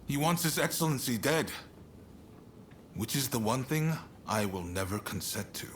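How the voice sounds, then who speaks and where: A man acting an affable, shrewd statesman in a video game cutscene, expressive and earnest but conversational. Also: medium pitch, normal speed, a little hiss or hum, close to an everyday voice. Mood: grave. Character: affable shogunate statesman, shrewd negotiator, warm toward his ally. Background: music.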